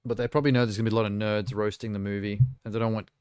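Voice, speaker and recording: A man's voice: lowish voice, level tone, racing, a little mellow, smooth, dry recording, studio quality, soft background music. A man from South Africa giving casual, off-the-cuff reactions as a live streamer, with wry, mocking asides.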